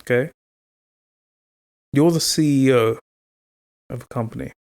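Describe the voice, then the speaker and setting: A man co-hosting a casual podcast with a teasing, playful manner. He tells his stories in an animated way at a medium pitch. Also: measured pace, creaky. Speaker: podcast co-host. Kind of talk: casual chat.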